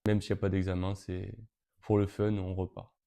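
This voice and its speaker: Low, mellow-voiced young man. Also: flat delivery, normal speed, gravelly, clean tone. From Brittany, France. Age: teens. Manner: hesitant, frequent fillers and restarts. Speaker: interviewee, event co-organizer. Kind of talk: casual interview answers.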